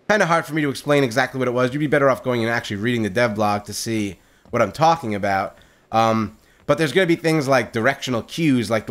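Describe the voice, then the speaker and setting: A man hosting a gaming video, talking in a casual, upbeat way with a loud voice and lots of fillers, recorded on a condenser mic at home. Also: medium pitch. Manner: upbeat, chatty, frequent fillers and repeated words. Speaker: gaming channel host. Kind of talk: casual solo gameplay commentary. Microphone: condenser mic on a boom stand.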